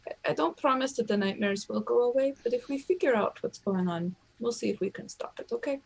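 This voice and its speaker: Woman player in an rpg, bit raspy, casual table talk. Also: a little high, a little fast, a little mellow, low-quality recording. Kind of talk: casual in-character roleplay and table chat.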